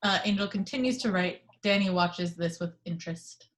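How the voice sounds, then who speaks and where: A woman reading the action descriptions at a script table read, in a plain, even manner. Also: medium pitch, normal speed, a little hiss or hum. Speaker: narrator reading stage directions.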